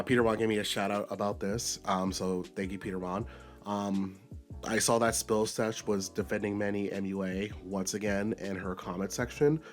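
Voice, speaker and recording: A man hosting his own commentary video, his voice raspy and a little nasal from a recent illness, talking emphatically in an unscripted rant and jumping up in pitch for emphasis. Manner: emphatic, with sudden high-pitched bursts. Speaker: commentary YouTuber host.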